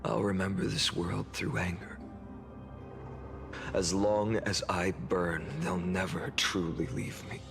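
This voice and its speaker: Grieving young game hero, slow, low-pitched, solemn wrath, dramatic storytelling, long reverb over music. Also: male voice, little pitch movement, vocal fry, exaggerated voice. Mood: grieving anger. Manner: solemn, deliberate, dramatic delivery. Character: grieving, wrathful young male hero recounting endless doomed cycles.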